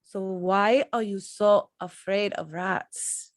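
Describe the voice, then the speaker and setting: A woman playing a gentle, sincere young immigrant rat-catcher in an amateur table read, with a wistful delivery. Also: mid-range voice, steady tone, slow pace, no room sound, clean studio sound, natural voice. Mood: wry, earnest.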